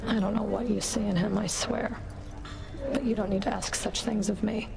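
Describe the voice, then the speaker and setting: A woman's voice: medium pitch, fairly even intonation, moderate pace, gravelly, rough recording. A woman voicing a cool, stern Fury in a video game, haughty, curt and controlled. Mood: disdainful. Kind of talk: video game character acting.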